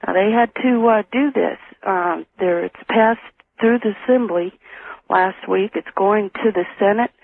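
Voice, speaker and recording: Halting American caller on tinny phone line. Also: male voice, high voice, creaky. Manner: slow, halting, deliberate. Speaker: caller to a radio show. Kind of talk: halting informal report to a host. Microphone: telephone.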